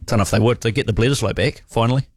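A man co-hosting talk radio, brisk and reading out phone numbers. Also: medium pitch, no room sound, clean studio sound. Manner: brisk, reads out call-in numbers. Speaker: radio co-host.

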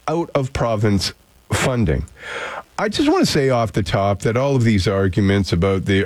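A man hosting a call-in talk radio show, opinionated and ranting, with frequent filler pauses. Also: mid-range voice, dry recording. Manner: opinionated, animated, ranting asides. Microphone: studio microphone.

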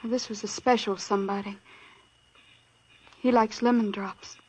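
An actress playing a gentle, earnest young woman who runs a small-town gas station, in 1940s radio style. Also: mid-range voice, fairly even intonation, unhurried, breathy edge, rough recording.

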